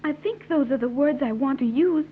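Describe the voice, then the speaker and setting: A woman's voice: high-pitched, normal speed, a little hiss or hum, close to an everyday voice. A woman acting a naive, earnest young island woman in an old-time radio serial, speaking formally with careful diction. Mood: earnest, gentle. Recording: tinny, narrow-band vintage radio recording.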